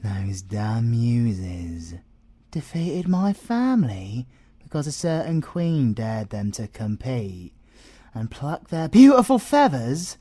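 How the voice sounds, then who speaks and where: A British man voicing a possessive, gloating male siren in an ASMR audio roleplay, theatrical and teasing, with a breathy voice that slips into whispers. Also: mid-range voice, big pitch swings, dark tone, no room sound, clean studio sound. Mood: indignant, scornful. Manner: theatrical, teasing, slow and deliberate, slipping into whispers.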